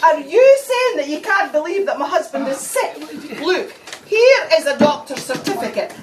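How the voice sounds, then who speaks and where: An extremely high-pitched, relentless wife in a broad stage comedy, badgering and wailing with melodramatic insistence. She is heard from a distance in an echoey room. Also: very animated, normal speed. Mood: indignant, insistent. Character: relentless hysterical wife pleading for her sick husband. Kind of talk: broad comic stage acting. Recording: echoey room, distant mic, faint noise.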